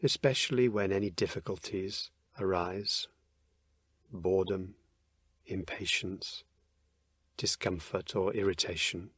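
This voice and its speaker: A man narrating a guided meditation, giving gentle, unhurried instructions with many pauses. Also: low voice, fairly even intonation.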